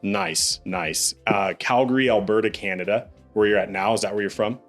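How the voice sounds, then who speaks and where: A young man hosts a podcast in a relaxed, upbeat way, tossing around "dude" and "bro" as he chats. His voice sits low, and faint music plays behind him. He speaks into a studio podcast mic. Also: normal speed. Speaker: podcast host. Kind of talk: casual interview chat.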